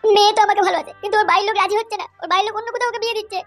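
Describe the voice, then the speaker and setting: Voice actor doing a heartbroken cartoon guy, really high squeaky voice, whiny and sweary, rattles words out fast. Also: steady tone, a bit bright, faint music behind. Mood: pleading. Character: lovesick young man, tearful then drunk and angry. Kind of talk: cartoon voice acting.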